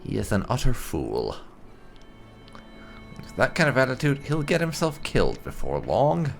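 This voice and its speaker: Slow, gravelly gaming commentator, man. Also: medium pitch, huge pitch swings, faint music behind. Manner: wry, opinionated. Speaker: gaming channel host. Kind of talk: roleplay gameplay commentary.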